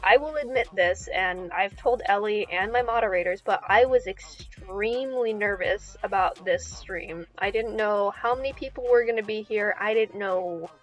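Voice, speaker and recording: A woman's voice: mid-range voice, normal speed, hardly any pauses, muffled recording, faint music behind. A woman hosting a podcast live stream over a call, rambling and giggly as she talks to her chat.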